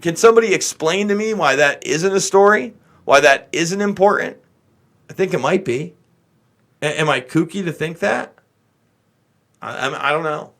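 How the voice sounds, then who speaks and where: A man's voice: on the high side, expressive, normal speed, pauses often, a bit bright. An American man giving casual, unscripted political commentary as a show host, in a blunt, exasperated, opinionated way.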